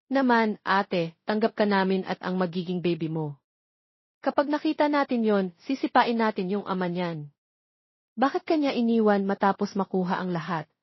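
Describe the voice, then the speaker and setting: A woman's voice: mid-range voice, animated, normal speed, dry recording, dull audio, close to an everyday voice. A woman narrator playing a loyal, spirited younger sister in a romance story. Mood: protective, indignant.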